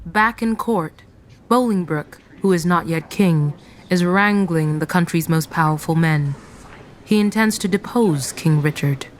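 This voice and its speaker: A woman's voice: on the low side, measured pace. A woman narrating a radio play with scripted, dramatic scene-setting.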